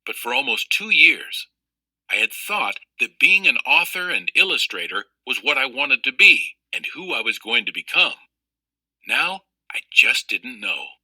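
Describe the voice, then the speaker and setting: A man reading a children's story aloud as narrator, with careful, expressive, explanatory delivery. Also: medium pitch, measured pace, breathy edge, dry recording, poor audio.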